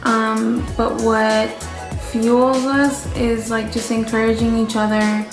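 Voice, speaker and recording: A woman co-hosting a casual couple Q&A at home, relaxed and a bit hesitant, with many fillers. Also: fairly high pitch, slow pace, roomy sound, music in the background. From Orlando. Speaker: co-host of family channel. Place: home room.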